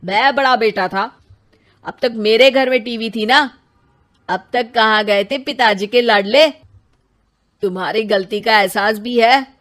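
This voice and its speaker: Cartoon elder brother female performer: proud, quarrelsome grown man, measured pace. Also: a little high, fairly bright tone. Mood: indignant. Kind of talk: Hindi moral-story cartoon dubbing.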